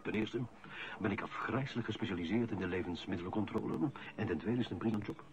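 An actor playing a resentful, stubborn office inspector in a radio play. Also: male voice, on the low side, quick pace, lightly acted. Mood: defiant. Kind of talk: radio play acting, conversational. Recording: dull vintage radio play recording.

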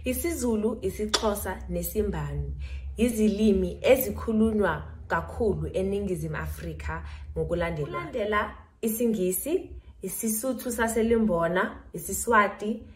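A woman's voice: medium pitch, moderate pace. A teenage South African schoolgirl giving a careful, recited presentation about her country, recorded indoors on a phone or webcam. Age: teens. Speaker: student presenter.

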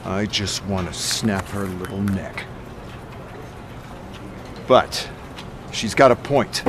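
An arrogant corporate security chief in a video game. He talks slowly and deliberately, sneering and menacing, with faint noise behind him. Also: low voice. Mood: cold, menacing. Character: Max Becker, abrasive arrogant male corporate security chief.

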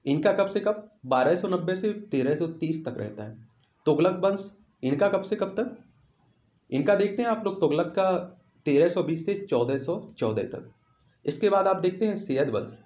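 A male teacher with a fairly high voice walks through quiz questions for exam students. He repeats questions and answers to drive them home. The recording has a strong room echo. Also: a little mellow. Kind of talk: instructional quiz walkthrough. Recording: echoey room sound.

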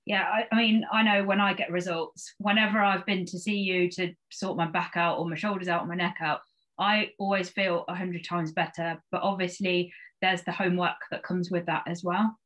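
A woman's voice: lowish voice, steady tone, moderate pace, fairly bright tone, poor audio. A woman in her thirties hosting a casual, conversational interview over a video call, speaking into a studio microphone. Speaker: interview host. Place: small indoor room, video call. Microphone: studio microphone on a stand.

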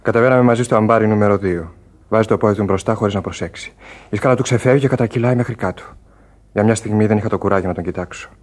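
Radio play seaman, male actor: sober, grave, low and husky. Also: close to an everyday voice. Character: sober sailor, shaken witness to an accident. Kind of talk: Greek radio play acting.

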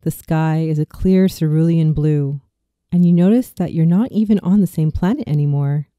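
A speaker in their thirties reading a scripted guided visualization in a soothing, slow and deliberate way, as a meditation narrator. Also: mid-range voice, dark tone, dry recording, studio quality. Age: 30s.

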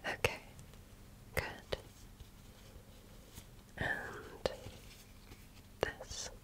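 A woman performs an ASMR medical exam roleplay in a bright, crisp whisper. She speaks very slowly and attentively, in short measured phrases. Her manner is that of a gentle examiner putting questions to a patient.

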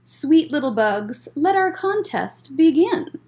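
A woman's voice: sits high, sing-song, slow, a little mellow, voice pushed up, exaggerated voice. A woman voicing a big, hungry male spider villain in a picture book, showy and falsely polite with menace. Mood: falsely sweet.

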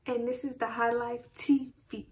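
A woman's voice: fairly high pitch, little pitch movement, normal speed, darkish tone. A young American woman co-hosting a reaction video in casual, slangy chat. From United States. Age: young adult. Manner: slangy, informal. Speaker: co-host of a reaction channel. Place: small indoor room with plain wall.

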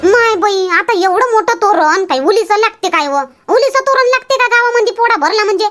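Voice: very high-pitched, expressive, a little fast, pushed and bright, soft background music, exaggerated voice. A performer voicing a busy, nagging village housewife and mother in a cartoon comedy, with a rural Vidarbha Marathi accent.

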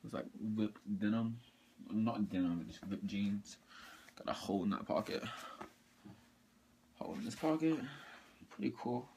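A teenage boy casually showing off his mall clothing and sneaker haul in his bedroom, talking in a halting, rambling way with lots of fillers and restarts. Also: fairly low pitch, expressive, vocal fry, warm tone, slight background noise. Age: teens. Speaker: sneaker vlogger. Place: bedroom, indoors. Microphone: camera or phone mic up close.

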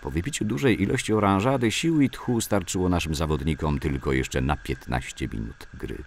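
Very deep, gravelly male narrator. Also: moderate pace, slight background noise. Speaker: narrator reading memoirs. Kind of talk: scripted documentary narration of sports-club recollections.

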